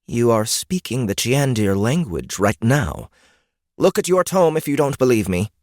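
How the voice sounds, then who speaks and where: A man voicing a female beast-folk elder in a fantasy audiobook, haughty and erudite, with clipped, precise diction and a deliberate manner. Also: mid-range voice, sing-song, a little mellow, no echo, studio quality, pitched above the performer's own voice. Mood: intrigued. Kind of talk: fantasy audiobook character acting.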